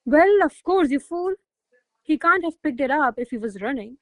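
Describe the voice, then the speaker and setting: Woman as imperious noble lady, high voice, hot-tempered, commanding, theatrical radio drama, close dry sound. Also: expressive, moderate pace, a bit bright, no room sound. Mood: scornful, commanding. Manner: haughty, commanding, theatrical exclamations. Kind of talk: radio play acting of a classic comedy.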